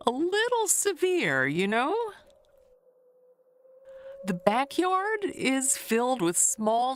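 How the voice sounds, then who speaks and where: An actress plays a chatty neighbor woman in an audio drama. She gossips confidingly and rambles at an unhurried pace, her pitch rising and falling freely. There is background noise on the recording. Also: a bit bright, full character voice. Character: chatty, gossipy woman neighbor reminiscing about the previous owners. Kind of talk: audio drama monologue, conversational storytelling.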